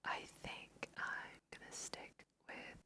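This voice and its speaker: A woman's voice: takes it slowly, sharp tone. A woman whispering a casual, musing ASMR let's play, talking to her viewers as she goes. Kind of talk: whispered ASMR game commentary.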